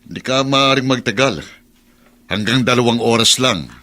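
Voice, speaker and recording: A man's voice: medium pitch, moderate pace, bright, forceful tone, lightly acted. A man playing a cold, resentful, domineering master of the house in a Tagalog radio drama, curt and commanding. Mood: stern.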